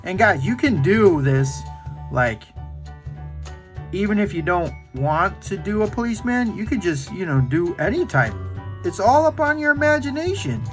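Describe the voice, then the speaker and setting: Playful, lively man teaching kids to draw. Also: sits high, moderate pace, soft background music. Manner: playful, encouraging, talks to kids directly. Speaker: children's drawing tutorial host. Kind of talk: playful drawing lesson for kids.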